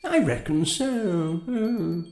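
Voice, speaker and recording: A man's voice: sits high, lively intonation, slow pace, a little mellow, roomy sound, faint music behind, exaggerated voice. An actor playing a vague, bumbling middle-aged king in a comedy audio drama, hesitant, stammering and rambling. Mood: dithering, apologetic.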